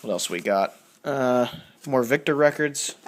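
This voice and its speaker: A man's voice: mid-range voice, expressive, a little slow, background noise. A man giving casual, unscripted show-and-tell commentary, rambling and thinking aloud as he reads labels out. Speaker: record collector showing a haul.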